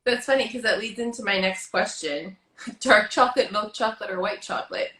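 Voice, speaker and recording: A woman in her thirties hosting a casual interview, chatty and giggly, firing off light quick questions. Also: mid-range voice, bright, forceful tone. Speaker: host. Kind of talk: casual video chat interview. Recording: echoey room.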